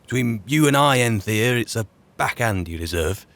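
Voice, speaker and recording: A man's voice: medium pitch, animated, moderate pace, a bit bright. A man playing a weary, grumbling wandering mage, musing and muttering drily in an in-character video game roleplay. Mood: dry, irritated. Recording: faint game ambience noise.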